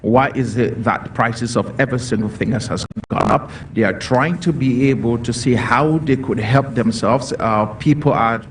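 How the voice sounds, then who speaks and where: A man's voice: mid-range voice, moderate pace, hardly any pauses. A man from Cameroon speaking as a panelist in a discussion, earnest and repetitive, with many fillers. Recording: distant mic with faint noise.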